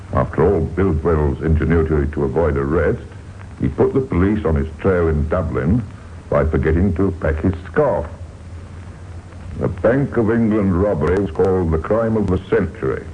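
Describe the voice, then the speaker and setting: Male crime-series narrator, deep bass register, deliberate dramatic delivery, dull old radio recording. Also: a little hiss or hum. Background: music.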